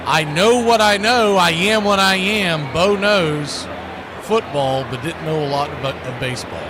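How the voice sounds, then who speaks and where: A man's voice: on the high side, a little slow, heavy background noise. A man doing baseball play-by-play as a radio host, in clipped, punchy calls mixed with casual chat.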